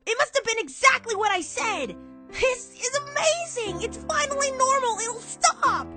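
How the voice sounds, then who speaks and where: A brash young pegasus pony mare for a fan audio drama. The voice is extremely high and swings widely. It is boastful, impulsive and full of big dramatic emphasis. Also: female voice, animated, a little slow, over music. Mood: elated, excited. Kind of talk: animated fan-fiction audio drama acting.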